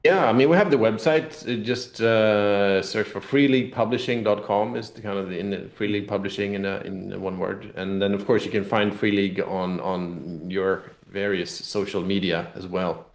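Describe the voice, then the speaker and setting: A man's voice: fairly low pitch, fairly even intonation, moderate pace, hardly any pauses. A man speaking as a game designer in a casual interview, explaining things and often repeating words as he goes. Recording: roomy echo.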